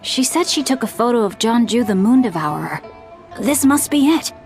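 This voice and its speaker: A woman playing a bookish, well-spoken youth in a video game, calm, thoughtful and articulate. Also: fairly high pitch, normal speed, faint music behind. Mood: intrigued. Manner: articulate, explanatory.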